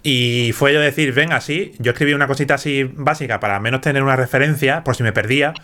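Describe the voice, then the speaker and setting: A man's voice: mid-range voice, fast, bright, forceful tone, a little hiss or hum. A man from Málaga with a mild Andalusian accent, hosting a chatty live stream and explaining things with many asides. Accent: Andalusian Spanish, mild. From Málaga, southern Spain. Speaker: host of a live chat. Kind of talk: casual live-stream chat.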